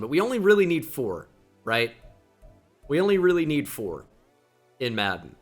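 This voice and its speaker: A man's voice: mid-range voice, a bit bright. A young man from New Jersey with a slight New Jersey accent, chatting casually as he comments on a football video game and thinks aloud with self-deprecating asides. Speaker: gaming YouTuber.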